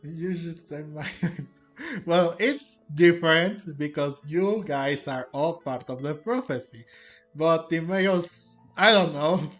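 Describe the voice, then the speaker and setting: A man's voice: a little high, takes it slowly, fairly bright tone, lo-fi sound. A man doing casual reaction commentary over gameplay as a streamer. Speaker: gaming streamer. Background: faint game music.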